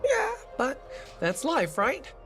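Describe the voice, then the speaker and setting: An actor playing an eager, self-important bartender who fancies himself an actor, in a video game. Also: male voice, very high voice, huge pitch swings, takes it slowly, heavily acted. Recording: music behind the voice.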